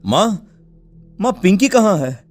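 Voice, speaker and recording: A man's voice: high-pitched, sing-song, takes it slowly, a little mellow, soft background music, full character voice. A man playing an anxious, tearful village father in a cartoon story, pleading and melodramatic. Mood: panicked.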